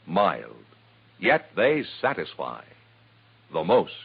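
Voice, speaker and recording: A man's voice: sits low, huge pitch swings, slow pace, gravelly. A man reading a scripted 1950s radio advertisement as the commercial announcer. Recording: tinny, narrow old radio recording.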